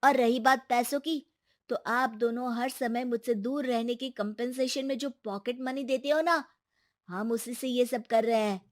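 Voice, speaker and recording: Woman voicing a high-pitched, earnest young boy. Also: steady tone, normal speed, lightly acted. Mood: defiant. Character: young grandson, earnest, stubborn, devoted to his grandmother. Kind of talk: scripted animated moral story dialogue.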